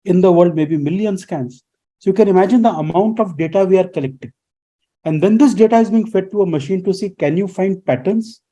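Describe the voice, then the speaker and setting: A man talks through his subject as a radio guest. His voice sits high and sounds deeply mellow. He teaches as he goes and keeps posing rhetorical questions. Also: a little fast. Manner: lecturing, poses rhetorical questions. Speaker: guest expert. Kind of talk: explanatory radio interview answers.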